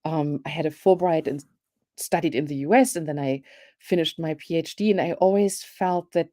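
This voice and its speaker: A woman in her fifties from Germany with a German accent, speaking as a guest academic in a panel discussion, thoughtful and hesitant, with many pauses and restarts. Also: low voice, dark tone.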